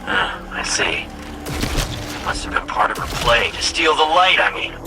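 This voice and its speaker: An actor playing a nervous, eager-to-please defector robot in a game cutscene, chatty, evasive and stammering. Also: male voice, mid-range voice, sing-song, measured pace, vocal fry. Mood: uneasy, defensive. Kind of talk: video game cutscene acting. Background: loud background music.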